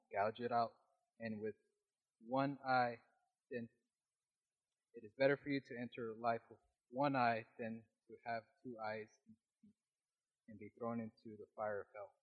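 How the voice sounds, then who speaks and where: A man with a small, quiet voice reading scripture aloud in a Bible study, steady with occasional restarts. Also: medium pitch, unhurried, pauses often. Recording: dull lo-fi recording.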